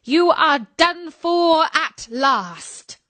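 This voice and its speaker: A woman voicing a vain, wicked fairy-tale queen with slow, theatrical, commanding delivery. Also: high-pitched, lively intonation, pushed and bright, dry recording, voice pushed up, heavily acted. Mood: gloating.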